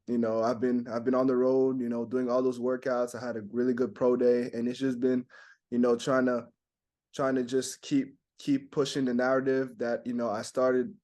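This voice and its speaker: Young man from Montreal, French his first language; flat, even delivery, stop-start phrasing full of fillers. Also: medium pitch. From Montreal, Canada. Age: young adult. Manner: halting, many "you know" fillers and restarts. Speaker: basketball draft prospect. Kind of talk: casual interview answers.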